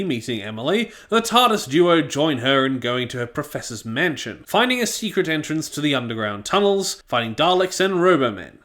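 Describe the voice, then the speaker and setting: Animated, high-pitched Australian man reviewing. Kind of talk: scripted review narration.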